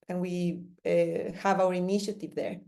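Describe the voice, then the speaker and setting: A woman's voice: fairly low pitch, steady tone, normal speed, mellow. A woman presenting at an online seminar, speaking with frequent restarts and fillers. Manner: hesitant, many restarts and fillers. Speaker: panelist.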